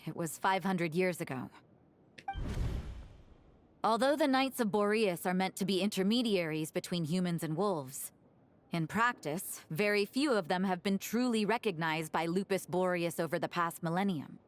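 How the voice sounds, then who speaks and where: A woman's voice: on the low side, normal speed, lightly acted. Actress as a wry, composed fantasy storyteller woman. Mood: solemn storytelling. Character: wry, composed woman in fantasy game, telling old legends. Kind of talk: scripted game dialogue. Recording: game music behind the voice.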